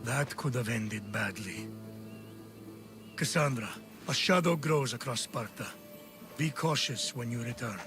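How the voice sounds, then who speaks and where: A male actor plays a proud, stern Spartan general and father in middle age, from a video game drama. His mid-pitched voice is measured and solemn, carrying command. Music plays clearly behind him. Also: close to an everyday voice. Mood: grave, wry.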